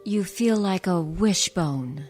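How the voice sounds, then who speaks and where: A woman playing a clinical, self-absorbed psychiatrist in a comic radio play, deadpan and precise. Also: lowish voice, takes it slowly, soft background music.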